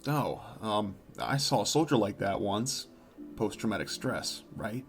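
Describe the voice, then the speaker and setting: A man's voice: lowish voice, expressive, unhurried, faint music behind. A man playing a concerned, slightly awkward young male dragon in an audio drama, speaking tentatively.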